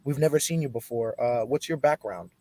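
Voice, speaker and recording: A man hosting a weekend livestream, energetic and emphatic, moving from bold lines to quieter asides, then reading out an article. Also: mid-range voice, a little fast, no room sound. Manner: energetic, emphatic, swings between loud and soft. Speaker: host.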